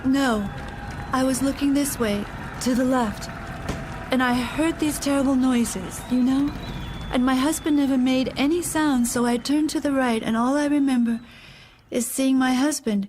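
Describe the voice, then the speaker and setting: An actress playing a grieving widowed First Lady in an audio drama, halting and fragile as she recalls events. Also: sits high, steady tone, noisy background, lightly acted. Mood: dazed, halting. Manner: halting, fragile, dazed recollection.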